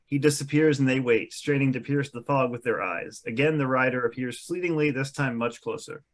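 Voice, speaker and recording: A man's voice: mid-range voice, brisk. Guy narrating fantasy screenplay directions at a table read, mid-range voice.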